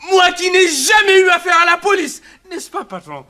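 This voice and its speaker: Very high-pitched, pleading hotel servant. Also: male voice, normal speed, heavily acted. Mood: indignant. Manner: excitable, wailing, protesting innocence. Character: pleading, indignant hotel shoeshine man, long-serving employee. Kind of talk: 1960s French radio crime drama acting.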